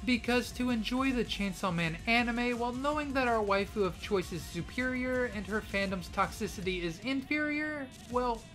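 A man's voice: extremely high pitch, expressive, normal speed, hardly any pauses, a bit bright, soft background music. A man giving scripted anime commentary in a mock-serious, playfully dramatic way full of puns, recorded close to the mic. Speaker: anime YouTuber.

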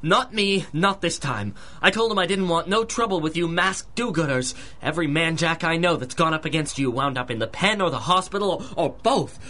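An actor playing a cowardly, grouchy small-time crook in a 1930s radio drama, talking in stammering slang. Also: male voice, on the high side, animated, fairly quick, few pauses, bright, forceful tone. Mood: pleading. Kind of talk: 1930s radio gangster acting.

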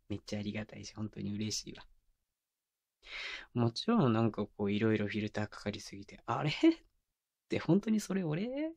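A man acting as a tender young boyfriend in an ASMR audio drama. He speaks in a soft, breathy murmur, very close and dry on a binaural mic. His manner is doting and teasing, slipping into coaxing baby talk. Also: lowish voice, sing-song, moderate pace, pauses often, no echo, exaggerated voice. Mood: playful, affectionate. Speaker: voice actor. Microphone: close binaural microphone, dry.

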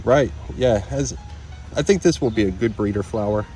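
A man's voice: on the low side, moderate pace, warm tone, husky, faint music behind, low-quality recording. A man in his thirties from Illinois, explaining flower traits in careful detail outdoors. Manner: knowledgeable, detailed, matter-of-fact. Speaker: flower breeder. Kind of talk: casual interview about flowers. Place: outdoors in a garden.

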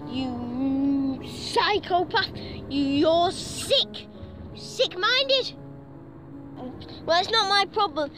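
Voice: extremely high pitch, animated, very slow, over music. A puppeteer dramatically acting out several characters in an improvised puppet show.

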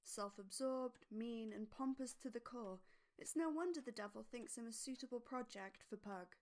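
A woman's voice: on the high side, moderate pace, darkish tone, close to an everyday voice. A woman giving wry scripted narration for an audio drama.

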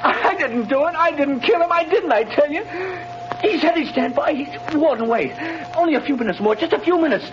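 A man's voice: very high voice, expressive, normal speed, over music. An actor playing a terrified young condemned man in a 1930s radio drama, pleading and breathless. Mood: panicked. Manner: breathless, pleading, on the edge of panic. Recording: muffled old radio broadcast.

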